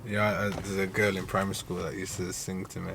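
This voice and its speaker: Relaxed man with a teasing streak; easy vlog banter over clear road noise. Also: low-pitched, a little fast. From Pakistani family, international school upbringing. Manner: teasing, laid-back, quizzing. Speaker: vlogger. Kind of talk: casual chat. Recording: noisy with car and street ambience.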